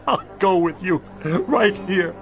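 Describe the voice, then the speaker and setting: Earnest older street peddler. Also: male voice, sits high, slow pace. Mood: frantic pleading. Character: kindly older male street peddler, pleading and stubborn. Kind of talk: dramatic radio drama acting.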